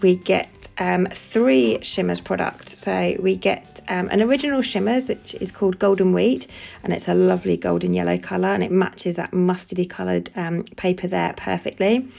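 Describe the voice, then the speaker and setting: A woman's voice: fairly low pitch, vocal fry, warm tone. A chatty woman with a bit of a cold, talking through a scrapbook layout as she works, with many fillers. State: has a cold, sniffling. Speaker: scrapbooking crafter demonstrating a layout. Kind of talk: casual voice-over for a craft process video.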